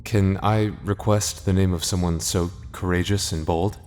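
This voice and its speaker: Low-voiced, flustered knight, acted by a man. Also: fairly even intonation, moderate pace, darkish tone, a little hiss or hum, lightly acted. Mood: flustered, eager. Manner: stammering, self-deprecating, nervous. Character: flustered, soft-hearted, timid young male knight, smitten. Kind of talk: ASMR fantasy roleplay.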